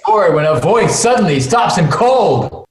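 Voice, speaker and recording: Male table-read narrator, expressive scene-setting with loose asides, slightly high voice, roomy call audio. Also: normal speed, a little hiss or hum. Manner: expressive, stumbles and breaks to comment. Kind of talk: dramatic reading of screenplay stage directions. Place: remote video call from a room. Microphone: video call microphone.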